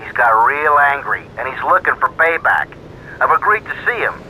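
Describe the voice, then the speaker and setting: An actor playing a crooked, embittered factory boss in a video game, brash and grumbling. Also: male voice, fairly high pitch, very animated, moderate pace, sharp tone, a little hiss or hum, full character voice, low-quality recording. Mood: menacing. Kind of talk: video game cutscene acting.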